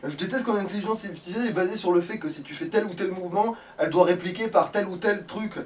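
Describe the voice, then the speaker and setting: Guy doing a lets play, highish voice, grumbles and swears, bit far from the mic. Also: fast. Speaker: video game player. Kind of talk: casual let's play commentary. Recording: distant mic, faint background noise.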